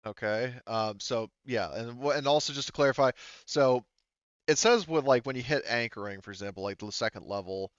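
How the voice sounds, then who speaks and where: A man running a tabletop roleplaying game as game master, casually talking through rules and haltingly thinking aloud. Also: mid-range voice, clear, resonant tone, no echo, lo-fi sound.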